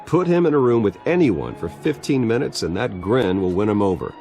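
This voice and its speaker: An actor playing a wealthy, composed, guarded American man with a dry, calm manner in a video game. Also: fairly low pitch, a little fast, faint music behind. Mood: composed, cordial. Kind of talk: video game character acting.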